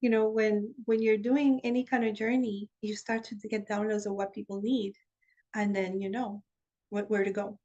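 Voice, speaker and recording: A woman teaches shamanic practice over a video call. She explains candidly and chattily, then slows into calm, steady guidance for a meditation journey over a drum beat. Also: medium pitch, lo-fi sound. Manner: chatty and candid when explaining, with soft laughs.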